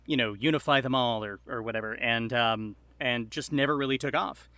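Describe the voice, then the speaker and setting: Bright-voiced man telling a story casually. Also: medium pitch, no echo. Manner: halting, rambling delivery full of hedges. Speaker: podcast guest, storyteller.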